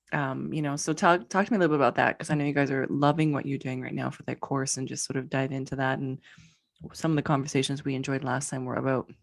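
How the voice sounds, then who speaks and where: A woman's voice: rumbling low, vocal fry. A woman hosting a podcast in a casual chat, bubbly, laughing often and saying "like" and "you know" a lot. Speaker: podcast host.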